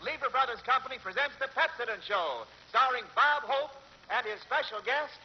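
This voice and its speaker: A man's voice: extremely high pitch, normal speed. An American man as a 1940s radio show announcer, proclaiming in a showy manner. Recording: noisy, crackly, muffled vintage radio recording.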